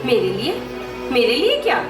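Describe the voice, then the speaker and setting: Over music and echo comes a proud, demanding queen, acted by a woman. Her voice is high and very lively, with the imperious, theatrical delivery of a mythological drama. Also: moderate pace. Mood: curious. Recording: echoey, distant playback with loud music.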